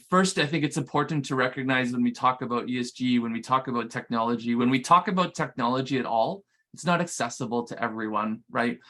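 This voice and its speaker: A man's voice: medium pitch, fairly bright tone. Man giving a conversational conference talk. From Canada. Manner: conversational, many restarts and fillers. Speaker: conference speaker. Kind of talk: conference presentation.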